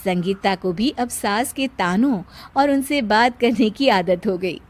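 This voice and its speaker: A woman's voice: mid-range voice, a little slow. A woman reading scripted narration for a cartoon moral story as a storyteller.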